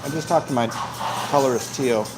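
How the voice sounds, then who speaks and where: A man's voice: medium pitch, brisk, low-quality recording. A man chatting casually to a livestream while he eats, talking between bites with savoring hums. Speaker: livestream host. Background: music.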